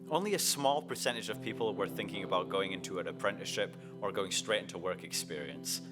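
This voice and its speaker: A young man narrates a student documentary in an earnest, reflective tone. His voice sits fairly low. Plucked guitar music plays clearly underneath. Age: young adult. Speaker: student documentary maker and interviewer. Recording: voice-over with guitar music behind.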